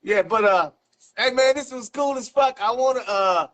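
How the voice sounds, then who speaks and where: A man's voice: very high voice, normal speed, fairly bright tone. A man, hosting an interview as loose, slangy, profanity-sprinkled chat. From South Central Los Angeles, United States. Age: 50s. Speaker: interview host. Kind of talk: casual chat.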